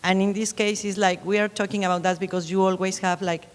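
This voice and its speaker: A woman's voice: mid-range voice, flat delivery, fast, long reverb tail. A woman with a Mexican Spanish accent, Spanish being her first language, speaking on a panel and searching for her words as she goes. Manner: searching, hesitant phrasing. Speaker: panelist author. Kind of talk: panel discussion. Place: lecture hall.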